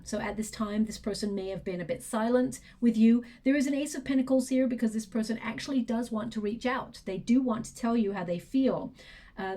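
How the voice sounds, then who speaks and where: A woman giving a tarot reading, talking softly and reflectively as she thinks aloud, her voice going hoarse and throat clearing. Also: on the high side. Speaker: tarot reader.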